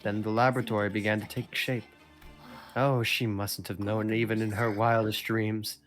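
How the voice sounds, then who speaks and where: A man's voice: on the low side, flat delivery, moderate pace, faint music behind, voice pushed down, natural voice. A man voicing a bitter, suffering old woman servant, telling her story solemnly in an amateur game dub. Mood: solemn, wistful.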